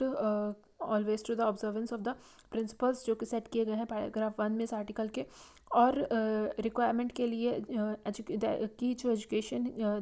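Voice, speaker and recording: Mellow, even-toned woman lecturing. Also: fairly high pitch. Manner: halting, frequent "uh" fillers and restarts while reading slides; mixes Hindi with English legal terms. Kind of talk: slide-based lecture explaining a legal text. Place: voice-over on slides.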